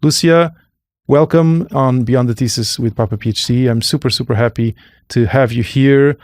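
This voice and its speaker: A man hosting a conversational podcast interview, often halting and rephrasing as he goes. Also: mid-range voice, a little mellow, no room sound, clean studio sound. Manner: halting, rephrases and repeats phrases. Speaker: podcast host.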